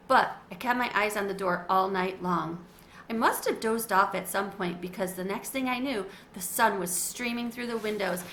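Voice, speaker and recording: A woman reading a children's chapter book aloud and then chatting about it in a warm storyteller manner. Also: mid-range voice. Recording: echoey room, faint hiss.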